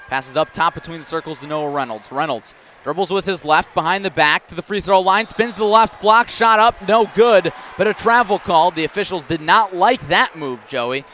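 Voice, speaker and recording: Male radio basketball announcer, fairly high voice, clipped running call, light game noise in background. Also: fairly quick, hardly any pauses, sharp tone. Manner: clipped running description, rising on big plays. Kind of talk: live radio sports commentary. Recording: faint gym noise, bouncing balls. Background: basketball game in a gym.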